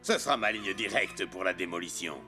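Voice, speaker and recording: A man's voice: low voice, lively intonation, fairly quick, bright, forceful tone, soft background music. An actor playing a scheming villain boss in a French cartoon dub, commanding and menacing as he gloats. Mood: gloating, commanding.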